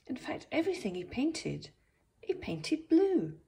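A woman reading a picture book aloud to young children as its author, expressive, with gentle asides. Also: on the high side, measured pace, creaky.

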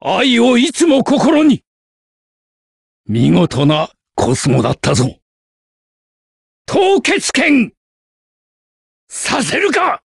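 An actor playing a noble, earnest ice knight in an anime game, solemn and heroic. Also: male voice, very high voice, wildly swooping pitch, extremely slow, creaky, long pauses, breathy edge, no room sound, studio quality, exaggerated voice. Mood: noble, resolute. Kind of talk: anime game battle lines.

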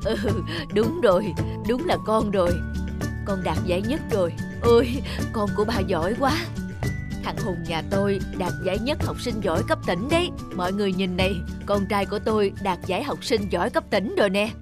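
A woman voicing a kind, self-sacrificing middle-aged foster father in a cartoon, speaking gently and earnestly. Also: medium pitch, lively intonation, brisk, over loud music, natural voice. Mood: proud. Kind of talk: scripted cartoon dubbing.

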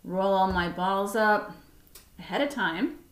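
A middle-aged woman giving a relaxed, chatty cooking demonstration in her home kitchen, talking through each step as she mixes. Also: medium pitch, slow pace. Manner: relaxed, chatty step-by-step instructions while mixing. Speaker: cooking instructor. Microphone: fixed camera across the counter. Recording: echoey kitchen with clattering dishes.

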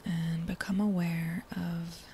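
A woman guiding a body scan meditation in a soft, breathy near-whisper, with a gentle, soothing manner and unhurried phrases. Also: lowish voice, level tone. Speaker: meditation guide. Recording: faint background noise.

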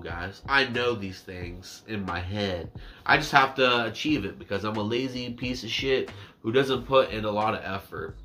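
A man's voice: medium pitch, bright, forceful tone. A man in his twenties chatting casually to camera as a reaction YouTuber, rambling and self-deprecating, stretching some words out. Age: 20s. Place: small indoor room with dark backdrop.

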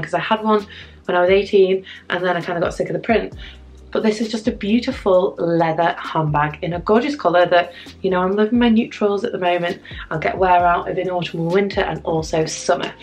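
A young woman vlogger with a husky voice from a cold, chatting upbeat to viewers while unboxing a handbag in a room at home. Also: mid-range voice, low-quality recording. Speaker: fashion vlogger. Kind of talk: chatty product unboxing review. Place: home room with framed prints, indoors.

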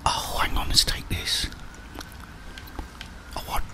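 Casting: man, 30s, constant breathy whisper, hushed muttered gameplay commentary for ASMR. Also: slow. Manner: hushed, muttering to himself with tag questions. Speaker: ASMR gaming streamer.

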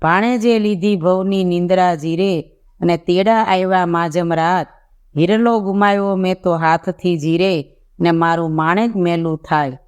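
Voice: mid-range voice, fairly even intonation, unhurried, clean tone, close to an everyday voice. A narrator voicing a sorrowful, evasive royal woman in a folk tale, a grieving mother who pleads and recites verse.

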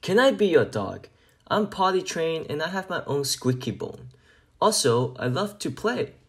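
A man's voice: a little high, a little slow, pitched above the performer's own voice. Man playing an eager pleading puppy, swooping voice. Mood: eager, hopeful. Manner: pleading, expressive. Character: eager, hopeful homeless puppy pleading for a home. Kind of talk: children's picture book read-aloud.

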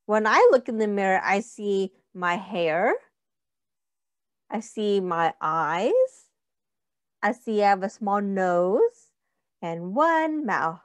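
A middle-aged woman reading a picture book aloud to young children, with simple, deliberate phrasing and questions to the listeners. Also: mid-range voice, animated, dry recording.

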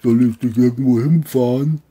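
A man's voice: medium pitch, fairly even intonation, moderate pace, mellow, slightly rough, natural voice. A man voicing a dim-witted, friendly taxi driver of thirty in a crime audio drama, with simple, short answers.

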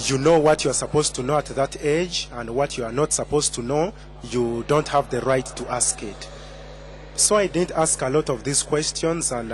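A young man from Uganda tells his story at a podium microphone, stopping often to restart his sentences. His voice sits at a middling pitch, and a faint hum runs underneath. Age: 20s. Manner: hesitant, many fillers and restarts. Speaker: conference speaker. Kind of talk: personal testimony.

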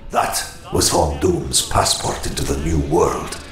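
An actor playing a proud, brooding older tyrant recounting his youth in a grave, measured, haughty dramatic narration for a comic. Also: extremely low pitch, reverberant, over music.

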